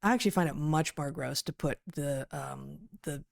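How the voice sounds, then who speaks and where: A woman's voice: deep voice, normal speed, vocal fry, no room sound, studio quality. A woman co-hosting a podcast, talking through an article conversationally, correcting herself and leaning on key words. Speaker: podcast co-host, biologist.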